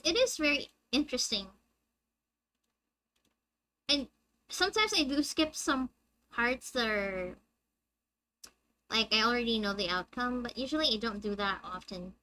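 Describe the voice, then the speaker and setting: A young woman with a high-pitched, squeaky voice, chatting with her viewers on a live game stream and reading the story text aloud. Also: animated, measured pace, long pauses, sharp tone, low-quality recording. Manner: chatty, reads dialogue aloud and answers chat.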